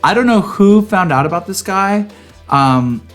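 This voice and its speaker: A man with a slightly high voice tells personal stories in a casual chat. His manner is campy and sassy, peppered with slangy asides, and there is some music in the recording. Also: animated, unhurried, fairly bright tone. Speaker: podcast co-host. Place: home garage studio.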